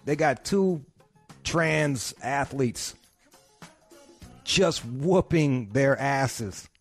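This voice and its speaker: A man hosts his podcast from home, rambling and swearing his way through a rant. His pitch sits in an ordinary middle range. Faint music plays under his voice. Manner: rambling, swears constantly, ranting. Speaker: podcast host. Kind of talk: casual profane monologue.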